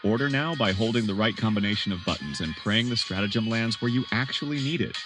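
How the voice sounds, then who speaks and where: Man as a propaganda ad announcer, salesy, lively intonation, mock-sincere, parody radio commercial, music behind. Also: on the low side, fast, close to an everyday voice. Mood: upbeat selling. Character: male pitchman in satirical military propaganda advert. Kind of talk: scripted parody infomercial.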